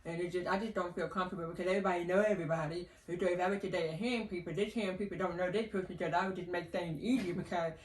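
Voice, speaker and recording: A woman's voice: on the low side, steady tone, very fast, few pauses, darkish tone. A hard-of-hearing woman hosting a casual family chat, speaking with the accent of her hearing loss. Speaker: podcast host. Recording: echoey room from a distance.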